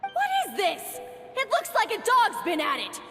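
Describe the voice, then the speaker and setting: A woman voicing a bold, commanding young woman in an anime game, with a forceful manner. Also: very high-pitched, wildly swooping pitch, measured pace, full character voice. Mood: furious, scolding. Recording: slight hall reverb, music behind.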